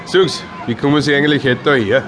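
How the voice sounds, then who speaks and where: A man acts a gruff homicide detective in a radio play. His Viennese voice sits at a middle pitch, curt and probing, thick with sarcasm and suspicion. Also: quick pace, crowd noise, background noise, natural voice. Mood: sarcastic, suspicious. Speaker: police detective.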